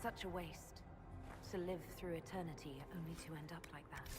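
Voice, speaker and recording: An actress playing a resolute female leader in a fantasy video game, speaking in a measured, direct, diplomatic way. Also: deep voice, steady tone, lightly acted. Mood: sober, questioning. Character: female Inquisition leader, composed, resolute young commander. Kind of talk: dramatic video game dialogue. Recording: loud background music.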